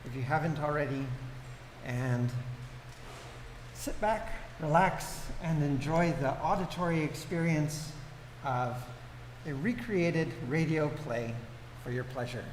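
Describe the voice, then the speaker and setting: A man giving a halting welcome announcement to a live audience as the host of a show, with hesitant pauses. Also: fairly high pitch. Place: large indoor community venue before an audience. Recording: echoey hall, clear background noise.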